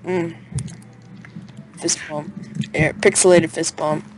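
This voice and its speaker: A young man joking excitably and casually with friends while playing a game over voice chat. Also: on the high side, slow pace. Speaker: gaming guest and YouTuber. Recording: clearly noisy voice chat with clicks.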